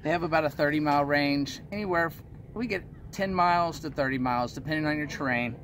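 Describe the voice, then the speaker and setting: Higher-pitched man chatting outdoors. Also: fast talker, a bit bright, slight background noise. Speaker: guest, van-life traveler and student. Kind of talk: casual explaining to camera. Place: outdoors beside a parked van.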